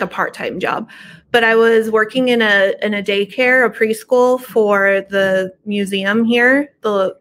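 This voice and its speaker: A woman's voice: a little high, a bit bright. A middle-aged woman chatting informally as an interview guest, speaking from home over a video call. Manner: informal, conversational. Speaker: podcast guest, book reviewer and horror entrepreneur. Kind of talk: casual interview chat.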